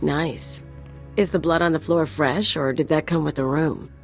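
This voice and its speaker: Tough, flirtatious hired gun: low female voice, wry and teasing, game dialogue over music. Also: fairly even intonation, measured pace, gravelly. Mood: taunting. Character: cocky, sardonic female mercenary, tough and flirtatious. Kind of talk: video game voice acting. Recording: music under the voice.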